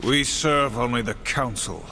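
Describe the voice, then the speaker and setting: A man's voice: medium pitch, a little slow, sharp tone, slight background noise. An actor playing a stern, dutiful horseman warrior in a video game, speaking in a solemn, formal, humorless way. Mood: stern, commanding. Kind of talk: video game cutscene acting.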